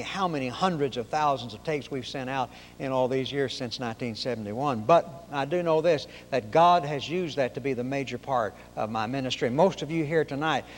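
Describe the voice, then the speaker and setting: A man from Oklahoma with a high-pitched nasal voice, preaching a sermon in folksy storytelling fashion. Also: long reverb tail, slight background noise. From Oklahoma, raised in Arkansas.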